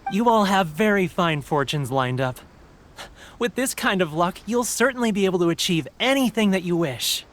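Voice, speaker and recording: A man's voice: sits high, animated, normal speed, background noise. A man voicing a cheerful middle-aged fortune stall keeper with a jovial, showman-like manner, in a game cutscene. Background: faint game music.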